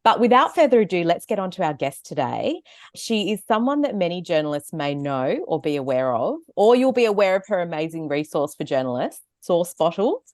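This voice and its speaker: Upbeat Australian woman co-host, low, lively voice, dry close-mic sound. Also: fairly quick, no echo. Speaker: podcast co-host. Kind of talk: casual chat and ad read.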